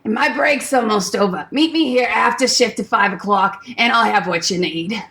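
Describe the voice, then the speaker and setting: An actress playing a wary, tough records insider in a radio play, with a blunt, folksy, wry manner. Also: female voice, on the high side, normal speed, bright, forceful tone, slight background noise. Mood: firm, wary.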